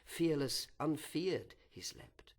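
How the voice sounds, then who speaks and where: A man narrating literary verse dramatically, measured and solemn, with deliberate pauses. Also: on the high side, animated.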